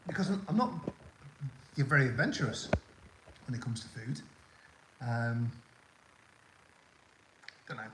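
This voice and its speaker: A man's voice: medium pitch, animated, measured pace, pauses often, noisy background. Older man, mild Scouse-tinged northwest accent, mid-range voice, chatty and rambling, live-stream host, phone mic in an echoey room. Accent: toned-down Northwest English (Scouse). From Liverpool area, England. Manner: rambling and chatty with many ums and restarts. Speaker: livestream host. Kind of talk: casual live chat, answering viewer questions.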